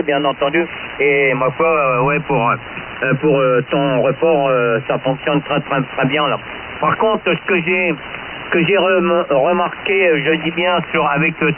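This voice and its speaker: A man working as an amateur radio operator, giving signal reports in halting, repetitive casual talk over a radio transmission. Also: fairly high pitch. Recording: narrowband hissy radio signal, heavy noise. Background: loud radio hiss.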